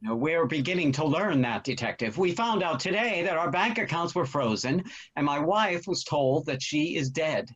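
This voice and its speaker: A man's voice: a little high, expressive, moderate pace, a bit bright, breathy edge. An actor playing a worried but courteous older husband and father in a table read. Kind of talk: stage comedy-drama table read. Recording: dull lo-fi online call audio.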